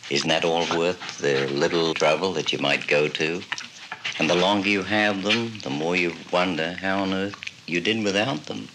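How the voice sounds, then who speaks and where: A man answers casually in a lowish voice. It is a 1950s portable recording with clear noise and crackle. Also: steady tone, moderate pace.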